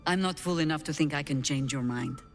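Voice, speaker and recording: A woman's voice: low-pitched, brisk, long reverb tail, faint music behind. A woman playing a proud, weary old warrior mother in a video game, terse, grave and dry. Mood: grave, restrained. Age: older. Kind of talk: video game dramatic acting.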